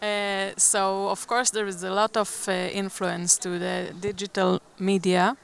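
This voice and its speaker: A woman from Israel speaking on a conference panel, slowly and hesitantly, with fillers. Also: mid-range voice, level tone, creaky.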